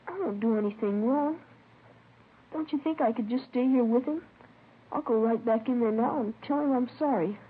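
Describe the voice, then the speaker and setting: A woman's voice: on the high side, normal speed, husky. A woman playing a meek, apologetic runaway boy from Ohio in a 1950s radio drama. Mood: pleading. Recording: tinny, noisy old radio recording.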